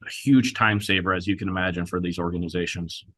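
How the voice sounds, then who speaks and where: A man presenting a software demo in a conversational, businesslike way, explaining as he goes. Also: sits low, fairly even intonation, quick pace, slightly rough, dry recording. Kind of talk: live product demo, explanatory talk.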